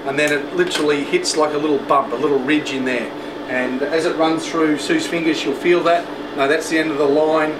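A man in his fifties hosting a casual factory tour, chatting through explanations with pauses. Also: on the high side. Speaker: host presenter. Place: indoors in a large factory and workroom. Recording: echoey factory, loud machine hum, distant mic.

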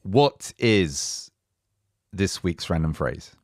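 A man from England hosts a relaxed language-lesson podcast, chatty and playful as he reads listener reviews. His voice sits fairly low. It is recorded close and dry, with no room sound. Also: extremely slow, no room sound. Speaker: podcast host.

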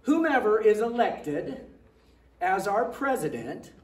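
An older man preaches from a big church stage, his voice high for a man and his delivery slow and deliberate. His sound is roomy and echoing, picked up from far off, with a faint hum of the room behind him. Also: very animated. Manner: jokey, loud projecting preacher. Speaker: pastor. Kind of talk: sermon. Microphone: far camera, handheld mic.

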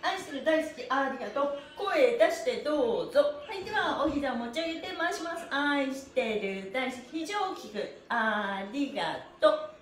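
A middle-aged woman hosting a livestream stretching class, chatty and encouraging, with an energetic, sing-song way of instructing. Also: high-pitched, moderate pace. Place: small bare-walled indoor studio room. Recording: roomy echo, mic a little away.

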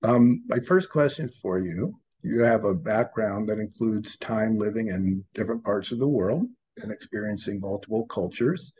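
A man's voice: lowish voice, normal speed, few pauses, darkish tone, poor audio. A middle-aged man on a video call, formally introducing a guest and asking prepared interview questions from a room at home. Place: home room with framed pictures, indoors. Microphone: computer webcam on video call.

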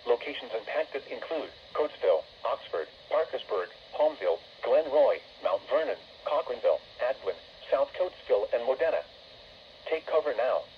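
A synthetic male voice reading a formal, measured emergency weather bulletin over a weather radio. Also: mid-range voice, big pitch swings, creaky. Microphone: camera recording a radio's small speaker. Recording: muffled, noisy weather radio speaker.

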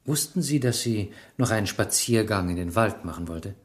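An actor in a German radio play, playing a calm police inspector who asks questions in a polite, measured way. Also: male voice, on the low side, lightly acted. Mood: inquisitive.

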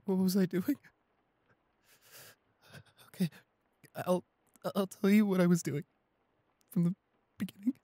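A man playing a shy, anxious, apologetic young boyfriend in an audio roleplay, halting and repeating himself in a breathy, husky voice. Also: high-pitched, steady tone, warm tone, no room sound. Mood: subdued, nervous. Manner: halting, stammering, repeating pleas. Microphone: close studio microphone, dry.

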